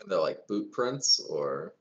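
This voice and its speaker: A man's voice: sits low, sing-song, measured pace. A man chatting casually as a player in a tabletop roleplaying game, heard through a slightly lo-fi mic.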